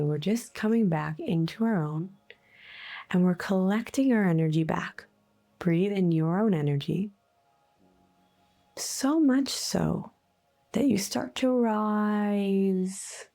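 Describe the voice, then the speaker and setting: A woman leading a guided meditation in a soothing, unhurried, gently instructive way, with breathy phrase endings. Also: lowish voice. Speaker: guided meditation host, energy healer. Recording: soft music bed behind voice. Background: faint music.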